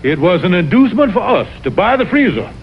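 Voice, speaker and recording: A man's voice: high voice, big pitch swings, fast, gravelly, exaggerated voice. An actor playing a blustering, scheming colonel in a vintage animal cartoon, pompous and oratorical in his arguing. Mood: indignant. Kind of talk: vintage cartoon sitcom acting. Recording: muffled, noisy vintage TV cartoon soundtrack.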